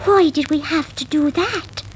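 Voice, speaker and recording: Squeaky, timid little country mouse. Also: female voice, a little slow. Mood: puzzled. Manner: breathy, wide-eyed, squeaky exclamations. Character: timid, naive little female country mouse, easily thrilled. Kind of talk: acted children's storybook voice. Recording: noisy 1960s vinyl record.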